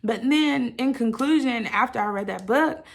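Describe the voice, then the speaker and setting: A woman sharing a personal story with listeners in a candid, halting, reflective way, often qualifying what she says and voicing her own thoughts expressively. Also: fairly high pitch, gravelly. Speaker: host of a personal channel.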